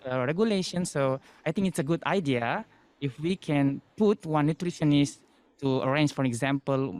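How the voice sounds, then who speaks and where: Indonesian-accented male student panelist, earnest and halting, clean smooth tone. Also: a little high, darkish tone, dry recording. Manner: halting, earnest, searches for words. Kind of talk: panel discussion comment.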